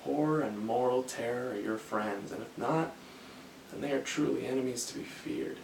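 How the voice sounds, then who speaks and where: A man performing a dramatic monologue as a haunted, brooding army officer, speaking in a halting, deliberate way with long pauses. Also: mid-range voice, vocal fry, darkish tone, close to an everyday voice. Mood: brooding, menacing. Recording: echoey room, distant mic, faint noise.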